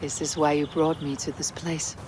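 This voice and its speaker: An actress playing a calm, loyal woman viking raider who speaks in a low-key, earnest way. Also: normal speed, lightly acted. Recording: game cutscene with loud background noise.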